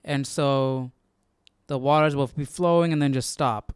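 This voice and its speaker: A man's voice: mid-range voice, steady tone, a little slow, clean tone, no echo, clean studio sound. A man hosting a Bible study, explaining casually and posing questions as he goes. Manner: explanatory, asks himself questions. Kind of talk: casual live study commentary.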